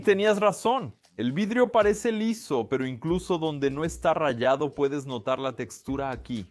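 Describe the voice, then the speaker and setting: A man hosting a casual science explainer, chatty and lively with quick exclamations. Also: medium pitch, no room sound. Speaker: science video host.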